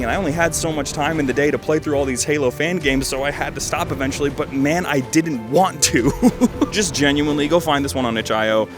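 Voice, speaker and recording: A man in his twenties giving casual, rambling game commentary as a video host, enthusiastic and full of jokey asides. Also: medium pitch. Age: 20s. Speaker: video host reviewing fan games.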